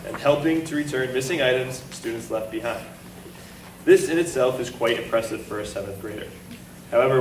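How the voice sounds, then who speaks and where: Man, teacher, steady reading, echoey, distant mic, noisy hall. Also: medium pitch. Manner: steady, reading prepared remarks. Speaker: teacher presenting an award. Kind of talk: read-out award speech at a school assembly. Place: school assembly hall.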